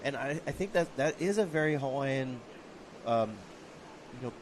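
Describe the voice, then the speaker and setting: A man's voice: mid-range voice, lively intonation, moderate pace, slight background noise. A man commentating on a surf contest, reflective and talkative, a father. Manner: reflective, storytelling. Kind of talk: live sports commentary.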